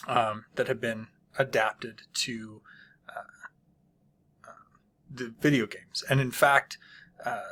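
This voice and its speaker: Host of a small book channel: unhurried, hesitant man who fills gaps with ums and keeps clearing his throat. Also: mid-range voice, sharp tone. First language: not Polish. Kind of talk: casual spoken book review.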